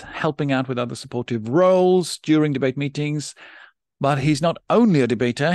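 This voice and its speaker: A man from Norway hosts a podcast interview. He sounds measured and polite, often restarting his sentences as he frames each question. The recording is dry and close, with no room sound around him. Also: medium pitch, no room sound. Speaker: podcast host and debate coach.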